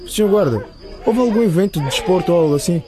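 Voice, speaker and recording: A man's voice: high-pitched, lively intonation, quick pace, over music. A young man playing a polite, hesitant and bewildered student in a radio drama. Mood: polite, puzzled.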